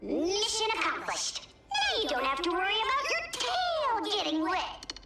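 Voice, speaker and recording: An actress playing a small, cheeky cat-like thief sidekick in a video game, eager and flattering in an exaggerated way. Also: female voice, very high-pitched, a little slow, reverberant, over loud music, full character voice. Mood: cocky. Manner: exaggerated, eager, ingratiating delivery. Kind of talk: video game character acting.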